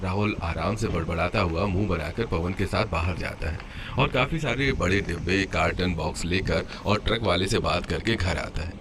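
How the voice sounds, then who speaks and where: A man's voice: sits low, normal speed. Male story narrator over background noise. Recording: clear background noise under voice.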